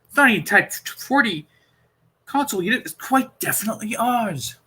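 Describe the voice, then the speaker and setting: A precise, excitable male scientist doctor from a storybook as acted by a male reader. He lectures firmly and emphatically in a raised, very sharp, forceful voice. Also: sits high, normal speed, slightly rough, voice pushed up, exaggerated voice. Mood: firm, insistent.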